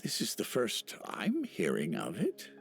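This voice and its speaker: A man's voice: lowish voice, wildly swooping pitch, a little slow, creaky, faint music behind, heavily acted. An actor playing a polite, forgetful elderly village grandfather in a video game, hesitant and courteous. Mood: mildly surprised. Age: older.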